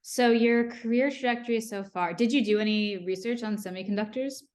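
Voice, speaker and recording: A young woman hosting a podcast over a video call, asking quick, upbeat questions. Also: on the high side, a little mellow. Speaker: podcast host. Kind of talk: friendly interview hosting.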